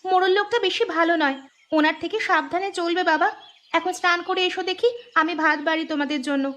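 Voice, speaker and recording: Woman as a very high-pitched, dutiful village girl. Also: fast talker, bright, forceful tone, slight background noise. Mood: affectionate, cheerful. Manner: earnest, coaxing. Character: caring, dutiful young village daughter, gently nagging. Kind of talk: animated village story dialogue.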